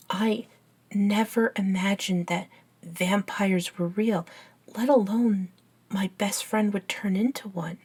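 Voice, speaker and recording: A woman playing an earnest, bookish young best friend in an ASMR audio roleplay, soft-spoken and hesitant, with pauses. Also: medium pitch, husky. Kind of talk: ASMR roleplay acting, one side of a dialogue.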